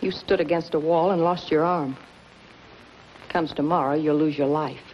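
A woman's voice: deep voice, steady tone, normal speed, natural voice. An actress in her thirties playing a bitter, scheming, world-weary gangster's woman, in clipped, dramatic 1950s noir style. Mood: scheming, hard. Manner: hard-boiled, clipped, dramatic. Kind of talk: 1950s noir film acting. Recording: dull, noisy 1950s film soundtrack.

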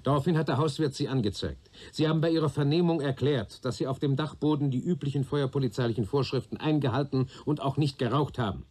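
An actor playing a shrewd, patient police investigator in a German radio play, probing and deliberate, with a dry sardonic authority. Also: male voice, medium pitch, a little mellow, lightly acted. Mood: stern, warning. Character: shrewd, patient male police detective questioning a young man.

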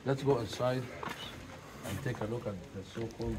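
A man guides visitors around a stone site, explaining as he goes. His voice is mid-pitched and reaches the mic from afar, with strong echo and plenty of noise around it. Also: normal speed. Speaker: tour guide. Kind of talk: explanatory on-site guiding to a group. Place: echoey ancient stone site. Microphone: picked up from a distance by the host's camera. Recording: echoey, noisy, distant from the mic.

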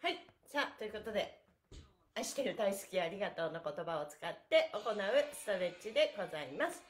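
A middle-aged woman with a slightly hoarse voice, cheerfully and politely leading a casual live stretching lesson with chatty asides and counted chants. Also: medium pitch, big pitch swings, a little fast, faint music behind. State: hoarse the morning after a performance and party. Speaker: stretching instructor and livestream host. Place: small bare-walled indoor room. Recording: roomy echo from camera distance.

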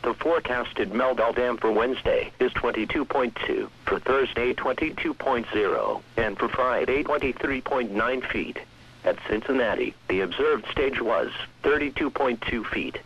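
Synthetic male weather radio announcer, American, mid-pitched, impersonal scripted read, dull broadcast sound. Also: a bit bright, husky. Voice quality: synthetic text-to-speech voice. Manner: measured, impersonal. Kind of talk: scripted weather bulletin reading.

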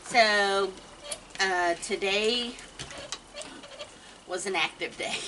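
A woman in her fifties chatting casually on a night-time deck, telling a story slowly with many pauses and softly greeting animals between sentences. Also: mid-range voice. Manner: slow, halting storytelling with many ums, breaking off to coo softly at animals. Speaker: wildlife feeding livestream host. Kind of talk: casual chat while feeding raccoons. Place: outdoor wooden deck at night. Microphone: fixed camera from a few meters away. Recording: distant voice with clear background noise outdoors.

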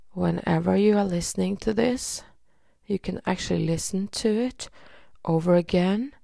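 A woman from Norway with a Norwegian accent, leading a guided energy healing session in a slow, soothing way with many pauses and fillers. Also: lowish voice, creaky, warm tone, no room sound, studio quality. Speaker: energy healer.

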